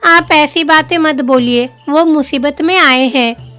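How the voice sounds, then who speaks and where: Gentle village wife in a cartoon, high and slow. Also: female voice, faint music behind. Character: kind poor village wife, gentle and patient.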